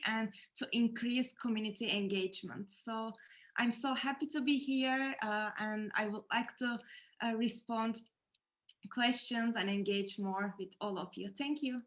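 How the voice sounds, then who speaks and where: A woman's voice: a little high, a bit bright. A young woman from Turkey speaks on an online panel in a slightly raised voice. She chooses her words carefully and restarts a phrase now and then. The audio comes through a video call. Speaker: panelist, PhD candidate. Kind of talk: online panel self-introduction.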